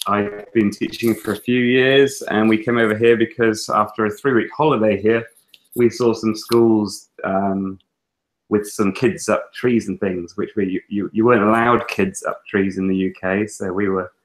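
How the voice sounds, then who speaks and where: A man from England with an English accent, talking as a guest on a webinar. His voice sits a little low, and he rambles casually with many ums and restarts. He speaks through an earphone mic from a small room at home. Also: steady tone, normal speed, poor audio. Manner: chatty, lots of fillers and restarts. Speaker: guest teacher and author. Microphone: earphone headset on a video call.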